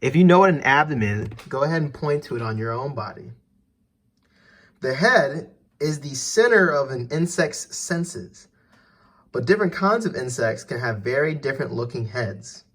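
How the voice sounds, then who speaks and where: Man, medium-pitched voice, teacher read-aloud, instructive, questions aimed at young listeners. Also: a bit bright, breathy edge. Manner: didactic, questioning the listener directly. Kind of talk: educational read-aloud for children with asides and questions.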